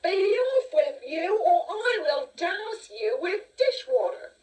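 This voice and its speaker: A woman voicing a sour-tempered, mocking female cook in a children's storybook, with sharp, scolding exclamations. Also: very high-pitched, sing-song, slow, voice pushed up, heavily acted. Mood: furious scolding. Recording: distant-sounding cassette transfer with music.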